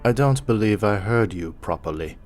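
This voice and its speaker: A man's voice: lowish voice, lively intonation, moderate pace, faint music behind, voice pushed up, natural voice. A man voicing a menacing, mock-polite military commissar in a horror story reading. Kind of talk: storybook voice acting.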